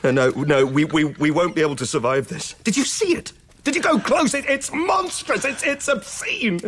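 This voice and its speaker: A man's voice: high-pitched, moderate pace, a bit bright, a little hiss or hum. An actor in a radio drama playing a despairing, haunted male survivor of an alien invasion, with an anguished, stammering, theatrical delivery. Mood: horrified, panicked. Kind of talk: dramatic radio play acting.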